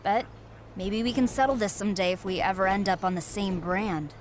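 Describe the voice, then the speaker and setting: A woman's voice: mid-range voice, a little fast, a little hiss or hum, lightly acted. A young woman voicing a confident, cocky wrestler in a video game cutscene. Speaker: player character wrestler.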